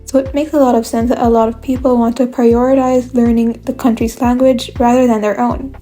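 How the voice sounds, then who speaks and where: A young woman narrates a scripted explainer in a slightly high, mellow voice. Her delivery stays flat and measured, keeping one steady line through each point. Faint music runs quietly beneath her. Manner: measured, even explanatory reading. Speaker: video essay host.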